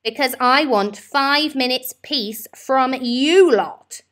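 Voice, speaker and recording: A woman's voice: sits high, slow pace, fairly bright tone, pitched above the performer's own voice. A woman voicing a weary mother elephant in a children's story, firm and exasperated, with a sighing manner.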